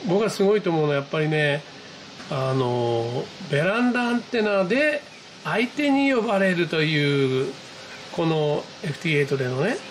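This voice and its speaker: A man with a high-pitched voice narrates his hobby video in a casual, excitable way. He exclaims and talks half to himself. It is recorded on a handheld camera at home, with some noise behind him. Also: normal speed. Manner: exclamatory, talking to himself and the screen. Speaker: amateur radio hobbyist narrating own video. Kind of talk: excited casual running commentary to viewers. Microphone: handheld camera mic, pointed at computer screen.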